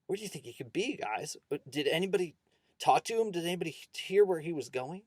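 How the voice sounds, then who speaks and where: A man voicing an angry, nervous male schoolteacher in a spoken story, loud and exasperated. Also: sits high, steady tone, a little fast, vocal fry, husky, no echo, clean studio sound, voice pushed up, exaggerated voice. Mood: worried.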